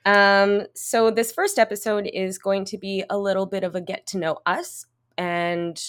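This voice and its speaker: A woman's voice: medium pitch, little pitch movement, measured pace, clear, resonant tone. Giggly English Canadian woman in her thirties. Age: 30s. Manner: giggly, chatty, with frequent fillers and restarts. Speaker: podcast host. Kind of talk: casual chat.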